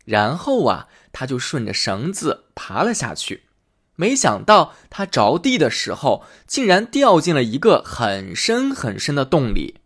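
Guy reading a kids fairy tale, pitch bouncing all over, very animated. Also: unhurried, husky. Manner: lively expressive storytelling. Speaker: storyteller host.

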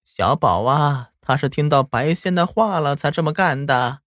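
A man voicing an old grandmother who speaks slowly and softly in a storybook tale. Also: on the high side, dry recording, clean studio sound, pitched above the performer's own voice, lightly acted. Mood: doting. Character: old grandmother, soft and doting.

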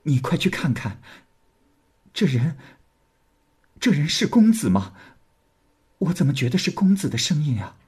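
Man doing a young courtesan, earnest and pleading, raised pitch, husky. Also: very animated, measured pace, long pauses, darkish tone, heavily acted. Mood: worried.

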